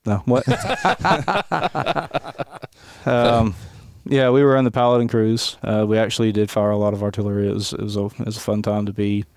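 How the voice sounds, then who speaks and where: A man in his late thirties from Tennessee, a police aviation unit leader telling his life story in a relaxed podcast interview, chuckling often. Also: on the low side, moderate pace, gravelly, no room sound. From West Tennessee, USA. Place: hangar office.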